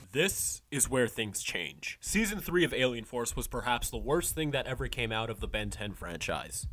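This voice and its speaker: A man's voice: mid-range voice, a bit bright. A young man delivering scripted narration as a video essayist. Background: faint music.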